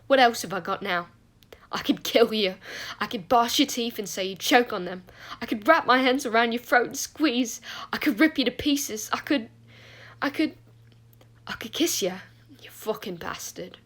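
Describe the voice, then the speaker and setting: A woman acts out a wounded teenage schoolboy in a storybook. He is surly and bitter, speaking in clipped, curt phrases with a gravelly creak in the voice. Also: mid-range voice, measured pace. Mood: anguished fury. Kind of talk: acted audiobook dialogue.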